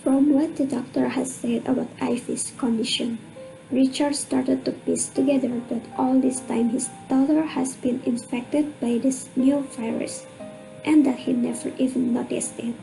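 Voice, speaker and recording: Radio drama narrator: woman, high creaky voice, scripted storytelling. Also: fairly even intonation, measured pace, dark tone, rough recording. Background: music.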